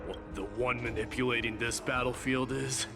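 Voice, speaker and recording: A man's voice: medium pitch, fairly even intonation, normal speed, gravelly, close to an everyday voice. A man playing a proud, defiant young warrior prince in a fantasy game, with a stern, terse, scornful delivery. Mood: grave, wary. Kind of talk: dramatic fantasy game voice acting. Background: music.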